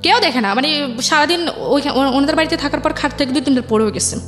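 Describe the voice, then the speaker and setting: A young woman with a high voice and a Bangladeshi accent tells her personal story in an interview. She talks quickly and colloquially, repeating phrases and quoting her family. Faint music runs underneath. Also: animated, a bit bright. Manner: colloquial dialect forms, repeats herself, quotes family members. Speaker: interview guest. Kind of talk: personal life story told conversationally.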